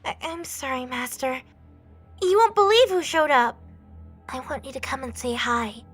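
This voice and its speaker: A high, delicate, feminine-sounding young male god acted by a woman in an audio drama. He is timid and apologetic, speaking with innocent, lonely earnestness and small hesitations. Music plays under the voice. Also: lively intonation, moderate pace, a bit bright, breathy edge, heavily acted. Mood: anxious, apologetic. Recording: background music bed.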